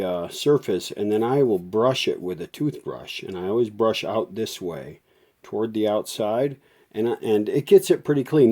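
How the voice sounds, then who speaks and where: A man giving a casual hands-on repair demonstration as a show host, thinking aloud in a folksy, unhurried way. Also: fairly low pitch, mellow. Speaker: host of a vintage audio repair channel.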